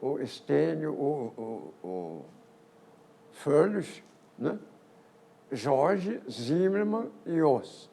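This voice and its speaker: An older man presents a history talk at a physics colloquium. His voice is gravelly and a little husky, sitting somewhat high for a man, and it swings up and down in a lively way. He stumbles over words and repeats himself as he moves through his slides. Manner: halting, with many repeated words and false starts. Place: lecture auditorium.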